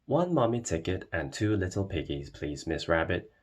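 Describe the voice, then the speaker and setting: A man's voice: low voice, very animated, moderate pace. Storybook mummy pig, kindly and motherly, very melodic delivery, played by a male reader. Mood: cheerful. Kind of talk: children's storybook read-aloud.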